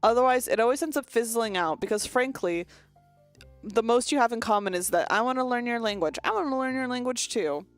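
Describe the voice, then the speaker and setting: A woman's voice: on the high side, animated, fairly quick, no room sound, soft background music. Candid rambling young woman streamer. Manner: candid, rambling, frequent "like" and "um", swears casually. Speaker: VTuber streamer. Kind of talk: casual chat answering viewer questions.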